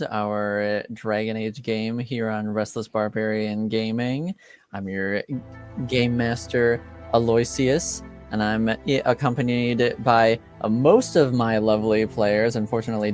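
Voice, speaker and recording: A man hosts a tabletop roleplaying game in a casual, unhurried way. He talks slowly and haltingly, pausing often as he goes, with music playing behind him. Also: lowish voice, a little mellow. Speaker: game master and host.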